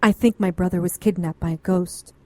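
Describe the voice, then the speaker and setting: A woman's voice: lowish voice, steady tone, fairly quick, noisy background, close to an everyday voice. An actress playing an anxious, educated middle-aged woman client in a noir radio drama, earnest and pleading. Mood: insistent.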